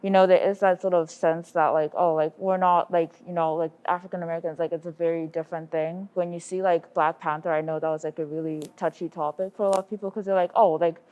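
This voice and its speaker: A student woman from Zimbabwe talks in a casual panel discussion, her voice a little low. She rambles and leans on filler words, and the recording sounds dull and lo-fi. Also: fairly even intonation, quick pace, hardly any pauses.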